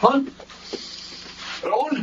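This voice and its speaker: A man's voice: drawn-out words, a bit bright, dull audio, slight background noise. An actor playing a modest, respectful young male employee in a Filipino radio drama, deferential in manner. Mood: surprised.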